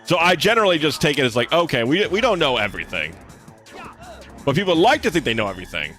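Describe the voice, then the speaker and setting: Chatty gaming streamer with a slight lisp. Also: male voice, big pitch swings, fast, sharp tone, soft background music. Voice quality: relatively deep voice. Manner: laid-back, chatty, swears casually, talks to chat. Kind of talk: casual live-stream chat while gaming.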